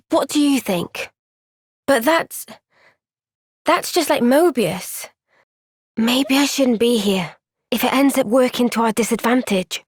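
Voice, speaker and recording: An actress voicing a young woman soldier in a game cutscene, soft-spoken and caring. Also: fairly high pitch, breathy edge, no room sound, studio quality.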